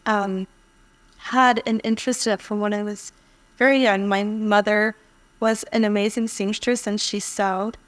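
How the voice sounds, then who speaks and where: A middle-aged woman from Hawaii, a podcast guest telling her personal story conversationally in an earnest, reflective way. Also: fairly high pitch. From Hawaii, United States. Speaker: podcast guest, fashion influencer.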